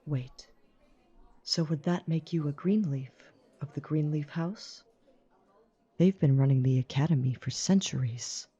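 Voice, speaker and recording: A woman playing a haughty, menacing, status-proud figure with a dark husky voice and cutting manner in an audio drama. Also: sits low, a little slow, muffled recording. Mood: probing. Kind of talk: audio roleplay drama acting.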